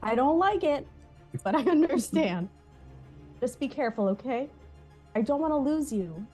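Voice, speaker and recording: A loving, worried wife with a high voice, played by a woman at a comedy table read. She is sensible and pleading. Faint music sits behind her. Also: animated, moderate pace, warm tone. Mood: tender, concerned. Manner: pleading, concerned.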